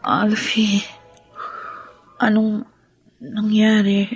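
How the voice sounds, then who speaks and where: Slow, breathy, grieving young Filipino wife. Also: female voice, mid-range voice, monotone, soft background music. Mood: weak, anxious. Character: weak, grieving young wife pleading for her baby. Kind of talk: radio drama acting.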